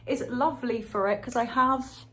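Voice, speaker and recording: A young woman vlogging to her phone on a tripod by a window in her flat, chatting casually and rambling with lots of fillers. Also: fairly high pitch, normal speed, breathy edge. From Spain. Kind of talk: casual vlog monologue to camera. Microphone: phone on a little tripod.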